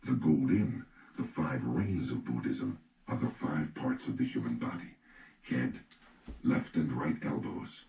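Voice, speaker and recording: A man reading an old treatise as an audiobook narrator, in a measured, solemn, declarative manner. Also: a bass voice, big pitch swings, vocal fry, mellow. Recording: roomy, distant mic with faint noise.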